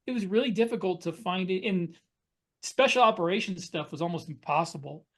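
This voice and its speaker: A man's voice: sits high, brisk, fairly bright tone. A man co-hosting a writers' podcast, talking in a casual, reflective way.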